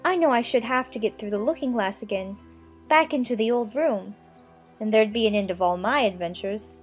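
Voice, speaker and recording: Woman doing a curious little storybook girl, high voice, polite and musing, bit of music behind. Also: normal speed, close to an everyday voice. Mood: stubborn, frustrated. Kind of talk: dramatized children's audiobook acting.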